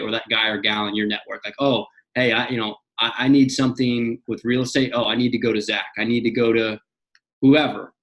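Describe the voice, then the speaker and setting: A young man in his twenties, a podcast guest talking in an energetic casual way, often repeating and restarting words. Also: medium pitch, dull audio. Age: young adult. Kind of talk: casual interview chat.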